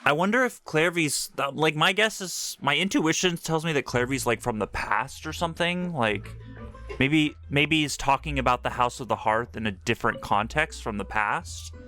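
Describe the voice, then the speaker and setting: A man's voice: a little high, normal speed, pushed and bright. A young man giving casual playthrough commentary as a game streamer. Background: game audio and music.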